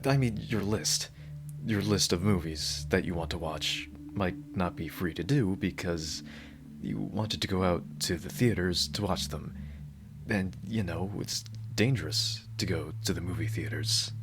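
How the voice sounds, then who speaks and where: A man's voice: sits low, measured pace, background noise. A man playing a devoted, dorky yandere bodyguard in an ASMR roleplay, earnest and rambling, awkwardly correcting his own slips. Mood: flustered, devoted. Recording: loud music behind the voice. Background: music.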